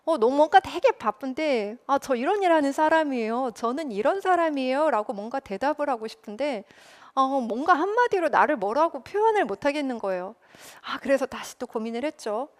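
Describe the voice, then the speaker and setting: A woman's voice: high voice, expressive, a little fast. A woman in her forties telling her own career story in a lecture, candid and self-deprecating, with many fillers. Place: lecture recording.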